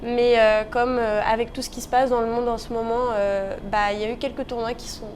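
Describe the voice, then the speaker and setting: High-voiced young woman, noisy background. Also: flat delivery, a little fast, darkish tone. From Paris region, France. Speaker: interview guest, professional tennis player. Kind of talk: casual interview answers.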